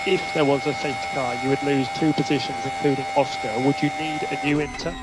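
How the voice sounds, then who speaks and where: A man's voice: mid-range voice, level tone, quick pace. A man working as a race engineer, giving terse, clipped instructions and updates over team radio, often repeating codes. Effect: team radio transmission. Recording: loud engine noise, team radio link.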